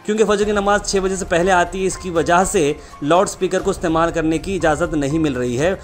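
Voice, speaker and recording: Newsman, higher-pitched, over music. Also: a little fast. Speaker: news presenter. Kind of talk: scripted news narration with sponsor reads. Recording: music playing behind the voice.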